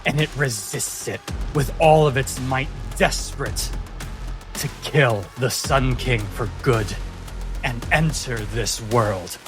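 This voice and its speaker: A man narrating a tabletop fantasy game as game master, dramatic and suspenseful, with deliberate emphasis. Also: medium pitch. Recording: loud music behind voice.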